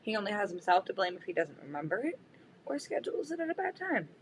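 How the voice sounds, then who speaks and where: A woman's voice: mid-range voice, big pitch swings, quick pace, a little hiss or hum. A woman in casual banter, blunt and teasing, swearing now and then as she talks. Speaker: podcast co-host.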